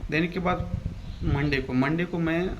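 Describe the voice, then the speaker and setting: A man's voice: on the high side, fairly even intonation, measured pace. A young man gives casual, rambling, repetitive advice to his viewers as a vlogger, often addressing them as brother. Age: young adult. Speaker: vlogger, police recruit. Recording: clear ambient background noise.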